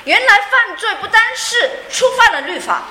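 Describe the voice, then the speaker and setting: High, bright woman interpreting a sermon. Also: big pitch swings, normal speed, slight background noise. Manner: fluent, with frequent fillers and light laughs. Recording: echoey room.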